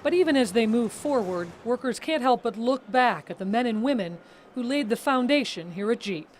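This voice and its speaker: An American woman reports the news in a fairly high voice. She reads scripted narration like a TV reporter, with faint noise behind her. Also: animated, normal speed.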